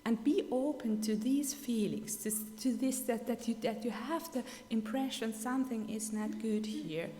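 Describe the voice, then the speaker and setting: A woman's voice: on the high side, moderate pace, few pauses, dark tone. A woman from Finland with a Finnish accent giving an earnest, emphatic keynote speech, often restarting her sentences. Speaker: keynote speaker. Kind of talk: keynote speech at an award ceremony. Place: ceremony hall. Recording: long hall reverb.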